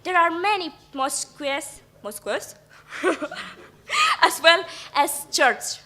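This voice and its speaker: Teenage girl reading carefully, bright high voice. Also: huge pitch swings. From Eritrea. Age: teens. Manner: careful, halting reading with self-corrections. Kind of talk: reading a prepared piece.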